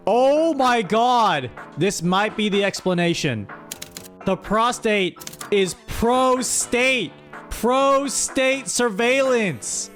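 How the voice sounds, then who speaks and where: A woman hosting a casual livestream, playful and mock-dramatic as she reads out and comments on a story. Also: mid-range voice. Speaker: streamer, host. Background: music.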